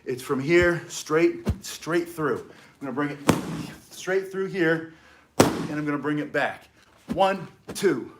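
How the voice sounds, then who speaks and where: Man, middle-aged, self-defense instructor, slightly high voice, energetic, punchy short phrases. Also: slow, heavy background noise. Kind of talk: casual instructional demonstration.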